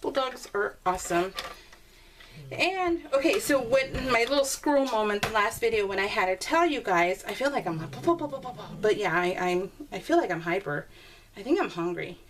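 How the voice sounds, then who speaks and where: A woman's voice: mid-range voice, animated, moderate pace. A woman chatting casually to her viewers in a craft video, rambling and often interrupting herself in a giggly way. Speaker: craft video maker showing scrapbook pages. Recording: clearly noisy home recording with clicks.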